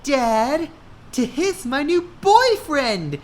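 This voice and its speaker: A man voicing a dramatic, lovestruck teenage girl in a put-on falsetto for a fan-fiction parody. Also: sing-song, extremely slow, exaggerated voice. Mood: lovestruck, dreamy.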